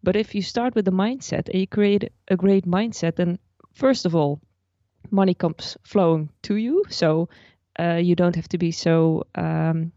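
A woman's voice: fairly low pitch, measured pace, mellow, no echo, highs cut off. A Dutch woman, a personal finance blogger, explaining her ideas at length as a guest in a podcast interview, with frequent restarts. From Netherlands.

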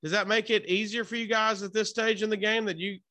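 A man's voice: high-pitched, steady tone, a little fast, pushed and bright. A man hosting a podcast interview in a casual, enthusiastic, informal way. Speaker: podcast and radio host interviewer. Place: hotel room, remote call.

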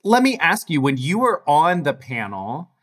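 A man's voice: a little high, wildly swooping pitch, normal speed, very noisy. A man hosting an interview, asking eager questions and heaping praise on his guest. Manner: effusive, gushing with praise. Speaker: interview host.

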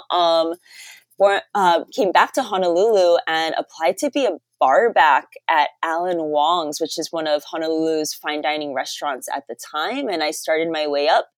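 A woman's voice: fairly low pitch, no room sound. A young adult American woman from Hawaii, talking as an interview guest and telling stories in a conversational way. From Hawaii, United States. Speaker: podcast guest, sommelier.

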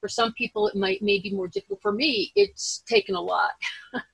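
A woman interview host in casual, reflective conversation, halting and rephrasing as she goes. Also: medium pitch, wildly swooping pitch, creaky, a bit bright, breathy edge, no echo, low-quality recording.

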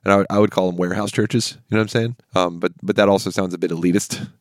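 A man's voice: low-pitched, steady tone, very fast, dry recording, studio quality. An American man in casual conversation, explaining things and carefully qualifying his own points. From US South.

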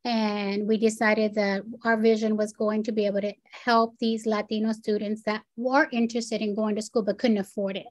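A woman's voice: medium pitch, little pitch movement, moderate pace, few pauses, a little mellow, no echo. A middle-aged woman from Puerto Rico whose first language is Spanish, recounting her experience in an earnest, conversational way with occasional fillers. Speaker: foundation president and executive director. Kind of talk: interview, personal recollection.

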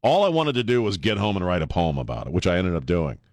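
A male talk radio host with a fairly low voice talks casually on a close, dry studio microphone. He rambles in an animated, joking way, as in live conversation. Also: fast, no room sound.

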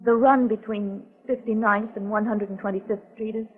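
A woman's voice: medium pitch, fairly even intonation, normal speed, lightly acted. An actress playing a frightened but composed woman, telling her story earnestly and hesitantly in a 1940s radio mystery. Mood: fearful. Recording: muffled, tinny 1940s radio recording.

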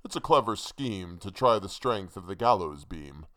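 A man performs a sinister hangman from a narrative poem. The voice is low and very clear, with deliberate, taunting phrasing. He sounds knowing, businesslike and scornful of those who question him. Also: animated, fairly bright tone. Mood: cold mockery. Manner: taunting, deliberate riddling replies. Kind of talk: dramatic poetry recitation.

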